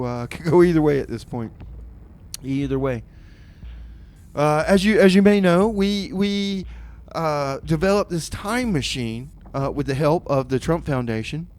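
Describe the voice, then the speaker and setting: A man hosting a community radio music show with casual, rambling, tongue-in-cheek chat, often halting and repeating his words. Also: a little high, a little mellow.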